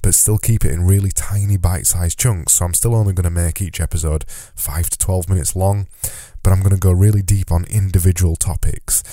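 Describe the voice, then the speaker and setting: A man's voice: low-pitched, steady tone, a little fast, warm tone, no echo, clean studio sound. A man hosting his own podcast in a solo monologue, with a slightly nasal voice, talking earnestly and repeating words for emphasis. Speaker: podcast host. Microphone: close podcast mic.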